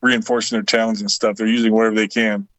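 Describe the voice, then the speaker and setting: A man's voice: lowish voice, level tone, quick pace, a bit bright, no room sound. Wry American man, dry close recording. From United States, Illinois. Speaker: guest voice performer. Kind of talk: casual chat.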